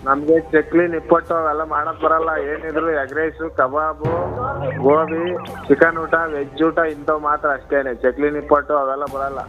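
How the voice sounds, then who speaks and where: A man on a dull, lo-fi phone line with faint music behind. His voice is on the high side, with a blunt, dismissive way of talking. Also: flat delivery, normal speed. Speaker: eatery owner taking a call. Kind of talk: casual phone conversation.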